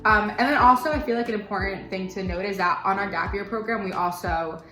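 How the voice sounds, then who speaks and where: A woman's voice: mid-range voice, a little fast, fairly bright tone. A young woman talking casually about student leadership, with many fillers. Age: young adult. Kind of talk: casual chat. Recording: echoey room with music.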